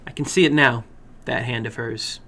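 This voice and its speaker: Bright, forceful man reading suspense fiction. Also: mid-range voice, steady tone, normal speed. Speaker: horror fiction reader and host. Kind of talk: dramatic story narration.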